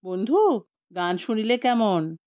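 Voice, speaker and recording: Woman storyteller doing an indignant, very animated blind man. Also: mid-range voice, takes it slowly, no room sound, highs cut off. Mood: expectant.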